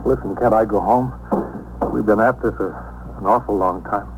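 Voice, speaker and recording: An American actor playing a rattled working-class bartender, evasive and protesting, in a 1950s radio police drama. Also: male voice, fairly low pitch, moderate pace, natural voice. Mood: defensive. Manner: evasive, protesting, plain-spoken. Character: defensive, rattled working-class male bartender under suspicion. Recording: noisy old 1950s radio recording.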